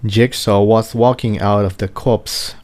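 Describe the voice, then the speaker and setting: A man narrates fiction in a fairly low, dark and mellow voice. He takes his time over the scripted text, measured, with an occasional stumble. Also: steady tone. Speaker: amateur fan fiction audiobook reader.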